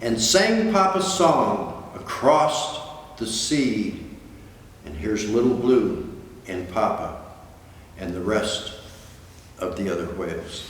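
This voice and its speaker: An older man reading a picture book aloud, pausing to add explanatory asides for listeners. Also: fairly low pitch, sing-song. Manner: expository, points out pictures to listeners. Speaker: guest storybook reader. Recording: distant, very echoey hall, faint noise.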